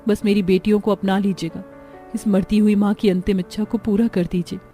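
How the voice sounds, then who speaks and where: A woman's voice: mid-range voice, little pitch movement, fairly quick, mellow, soft background music, close to an everyday voice. A woman voicing a remorseful dying mother's last letter in a cartoon story, solemn and pleading. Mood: sorrowful regret.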